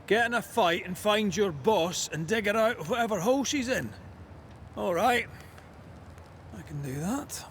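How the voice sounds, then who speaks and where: An actor playing an earnest, bold ghost hunter with a Scottish accent in a video game, plain-spoken and eager. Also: male voice, sits high, lively intonation, moderate pace, long pauses, a bit bright, a little hiss or hum. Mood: determined. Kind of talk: video game cutscene acting.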